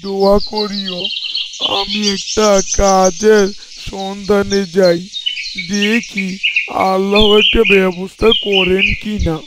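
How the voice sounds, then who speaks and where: A man's voice: high voice, level tone, takes it slowly. An actor playing a poor, devout, worried fisherman father in an Islamic story cartoon, pleading and sorrowful. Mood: humble, hopeful. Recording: very noisy, birdsong and insects behind.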